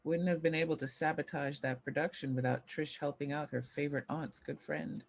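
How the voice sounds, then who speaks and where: Despondent former child star acted by a woman, lower register, bitter and resigned. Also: fairly even intonation, fairly quick, gravelly. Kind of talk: dramatic table read.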